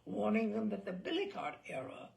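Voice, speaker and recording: A woman reading a story aloud in a dramatic, theatrical way, with deliberate pauses and emphatic phrasing. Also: mid-range voice, very animated, gravelly, darkish tone. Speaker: reader of a story.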